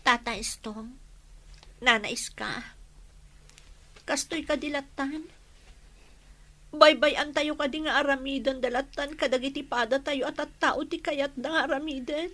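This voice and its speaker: A woman's voice: high-pitched, steady tone, unhurried, pauses often, fairly bright tone. An actress playing an earnest, distressed young woman in an emotional, pleading radio drama style. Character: earnest, distressed young woman left alone by her family. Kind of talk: Ilocano radio drama acting.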